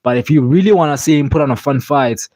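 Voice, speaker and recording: A man chatting casually about fights, halting and repeating himself with lots of "like". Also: mid-range voice, darkish tone, no room sound.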